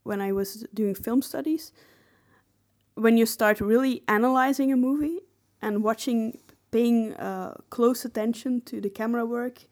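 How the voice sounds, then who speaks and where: A woman co-hosting a podcast, discussing film casually and analytically, often pausing mid-phrase to find her words. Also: fairly high pitch, darkish tone. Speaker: podcast co-host.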